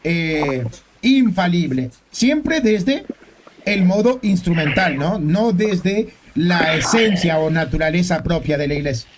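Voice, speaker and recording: Rioplatense guy hosting a live debate, pretty high voice, argues with questions, some noise on the recording. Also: lively intonation, slow pace. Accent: Rioplatense Spanish. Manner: argumentative, rhetorical questions. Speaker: debate host. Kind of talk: spontaneous religious debate.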